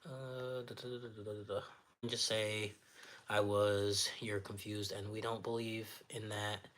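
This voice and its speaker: A man with a naturally raspy, slightly nasal voice, hosting a casual livestream, reading viewer comments aloud and answering them in a friendly, encouraging way, sniffling often. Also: on the low side, little pitch movement, measured pace, a bit bright. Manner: friendly, encouraging, chatty with filler words. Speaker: livestream host.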